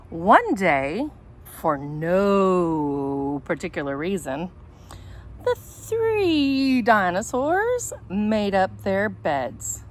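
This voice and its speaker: A woman's voice: mid-range voice, very animated, extremely slow. A woman teacher talking casually and reading a picture book aloud, with a chatty, rambling, self-deprecating way of speaking. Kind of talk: casual vlog chat and storybook read-aloud. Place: outdoors in sunshine. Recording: homemade outdoor recording with background noise.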